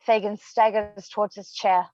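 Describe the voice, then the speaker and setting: A woman's voice: medium pitch, level tone, normal speed, mellow, no room sound. A woman reading stage directions as scripted narration, on a slightly dull microphone.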